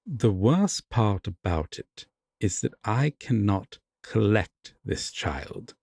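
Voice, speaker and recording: A man's voice: on the low side, big pitch swings, takes it slowly, gravelly, darkish tone, breathy edge, no echo, studio quality, heavily acted. A man playing a haughty, possessive fae king in a fantasy audio roleplay, speaking formally and with theatrical deliberation. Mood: brooding.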